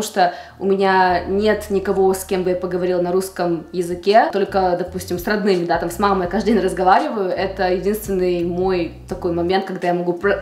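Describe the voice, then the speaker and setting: A woman's voice: medium pitch, a little mellow. A woman in her twenties from the Russian Far East, a beauty vlogger chatting casually to camera in a room at home. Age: 20s. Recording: roomy indoor echo.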